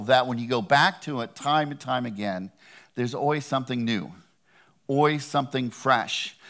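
A man's voice: on the high side, fairly bright tone. A man giving a sermon as a guest preacher, talking in a conversational, earnest way with touches of humor.

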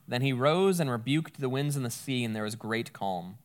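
A man's voice: medium pitch, lively intonation, moderate pace. A man, a pastor giving a conversational sermon and reading scripture aloud. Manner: conversational, explains Greek words with a folksy aside.

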